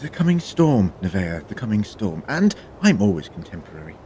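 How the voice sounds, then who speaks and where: A man's voice: fairly low pitch, big pitch swings, moderate pace, warm tone. Male time-traveller lead, played by an actor: wry, eloquent, very varied intonation, audio drama. Noisy outdoor ambience. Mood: wry, teasing. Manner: wry, eloquent, drily humorous.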